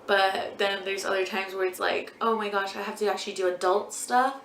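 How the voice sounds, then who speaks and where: A woman's voice: medium pitch, level tone, fairly quick, a bit bright, slight background noise. A twenty-year-old woman answering viewer questions as a beauty vlogger in a casual, rambling way, full of ums and likes. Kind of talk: casual Q&A chat. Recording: echoey room.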